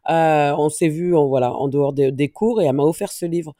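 A woman from France speaks as an interview guest, and her voice sounds mellow and warm. She talks haltingly, with frequent restarts while she searches for the right words. The recording is dry and close. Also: low voice, no echo. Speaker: interview guest, dance teacher. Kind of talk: personal testimony in an interview.

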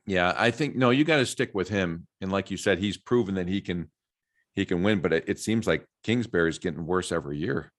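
Laid-back low-voiced American man. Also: brisk, dry recording, studio quality. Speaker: sports talk co-host. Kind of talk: casual sports chat.

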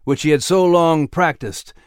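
A man voicing a gentle, grave male scholar of the ancient world, measured and reflective, in an audio story. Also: fairly high pitch, clear, resonant tone, dry recording, studio quality, close to an everyday voice. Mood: solemn.

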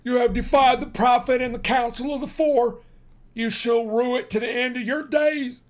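A pompous young zealot suitor, voiced by a male reader for a storybook. He talks in a formal, sanctimonious manner with his pitch pushed up, boastful and quick to take offence. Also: fairly even intonation, smooth, natural voice. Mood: enraged, threatening. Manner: formal, sanctimonious, self-important.

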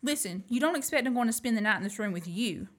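A woman's voice: a little high, lively intonation, quick pace, fairly bright tone. A young woman acting an indignant, reluctant captive companion in a student radio play. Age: young adult. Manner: indignant, protesting.

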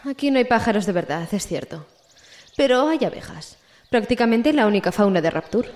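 A woman's voice: a little high, lively intonation, brisk, darkish tone, natural voice. A woman playing a sensible, questioning city council member in audio drama dialogue. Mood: reasoning, concerned. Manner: conversational, questioning.

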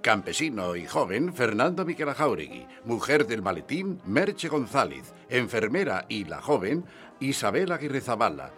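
A man's voice: medium pitch, big pitch swings, moderate pace. A man reading scripted closing credits as the announcer of a radio drama programme. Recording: loud music under the voice. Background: music.

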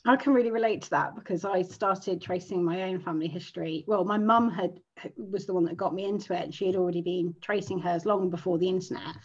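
A dull, slightly lo-fi recording of a British woman in her thirties. She interviews casually, with a husky edge to her voice and a quick, conversational delivery. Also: lowish voice, fairly even intonation, a little mellow.

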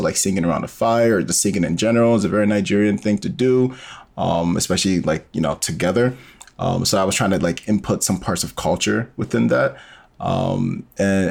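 A man's voice: low voice, vocal fry. A man speaking as an interview guest, explaining his storytelling in a casual, informal way and thinking aloud as he goes.

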